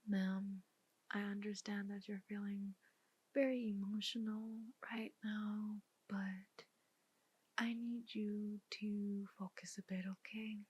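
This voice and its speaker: A woman performing a soft-spoken ASMR roleplay as a detective, with measured, deliberate questioning. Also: medium pitch, little pitch movement, slightly rough.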